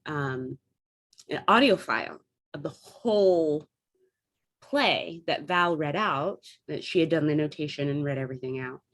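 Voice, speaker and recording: A woman chatting casually as an interview guest, explaining things in a talkative way and thinking aloud as she goes. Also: low-pitched. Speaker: guest, theatre artist and classical actor.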